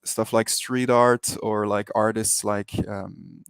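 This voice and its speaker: A Belgian man whose first language is Dutch, speaking English with that accent in a low, gravelly voice. He takes his time as a guest in a casual interview, halting and repeating words, captured close and dry. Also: steady tone, no room sound. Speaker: interview guest, digital artist.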